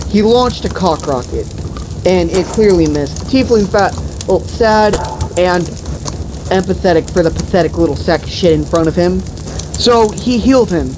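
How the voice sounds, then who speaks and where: A woman telling an improvised comic fantasy story among friends in a deliberate, profane, mock-epic manner. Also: on the low side, noisy background. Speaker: storyteller and host.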